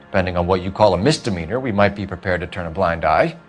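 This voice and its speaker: A methodical, stern detective from the 1940s pressing a suspect in clipped lines. His pitch moves a lot as he pushes. A faint music score sits behind him. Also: lively intonation, fast talker. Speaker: police detective.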